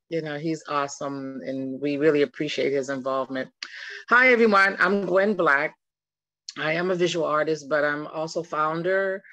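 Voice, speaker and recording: A woman introducing herself at a community meeting on a video call, friendly and brisk. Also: sits low, sharp tone, no room sound. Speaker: arts festival founder. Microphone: video call, laptop camera.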